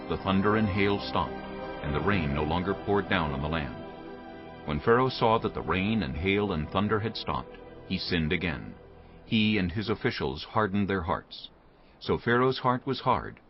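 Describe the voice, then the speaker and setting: Low-voiced male scripture narrator. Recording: phone-like narrow band, prominent music.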